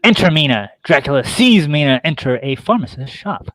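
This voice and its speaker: A man's voice: fairly high pitch, very animated, fairly quick, a bit bright, no room sound. A man narrating a horror play, reading stage directions in a terse, theatrical way.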